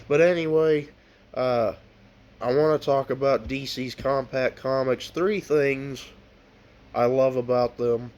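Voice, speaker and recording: Slow, stuffy-nosed man chatting casually. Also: medium pitch, steady tone, a little mellow, dull audio. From United States. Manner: slow and unhurried, with frequent ums and uhs. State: stuffy nose from a cold. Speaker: collector showing off his books. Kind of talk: casual vlog talk.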